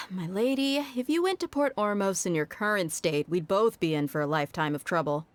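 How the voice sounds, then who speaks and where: A woman's voice: on the low side, expressive, normal speed, no echo, natural voice. A woman playing a stern, protective bodyguard in an anime game, speaking in a firm, matter-of-fact way. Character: stern, dutiful female bodyguard, protective and wary. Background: background music.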